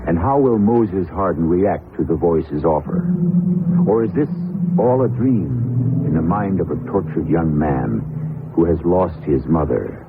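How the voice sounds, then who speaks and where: A man hosting a radio mystery series, narrating a dramatic script in a measured, portentous manner. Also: low-pitched, expressive, very noisy. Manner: portentous, measured, rhetorical questioning. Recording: tinny old radio broadcast recording. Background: music.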